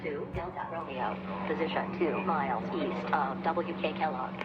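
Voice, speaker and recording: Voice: medium pitch, moderate pace, close to an everyday voice. A voice playing a formal simulated air traffic controller in a flight game, giving clipped, procedural radio instructions. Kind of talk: clipped aviation radio phraseology. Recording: dull lo-fi playback, clear background noise.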